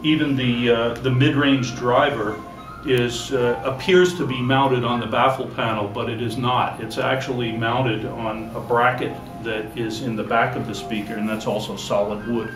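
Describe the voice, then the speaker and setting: Halting man in an echoey room. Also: mid-range voice, level tone. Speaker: loudspeaker maker. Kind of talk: product explanation in an interview. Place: exhibit room at an audio show. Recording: echoey room, music behind.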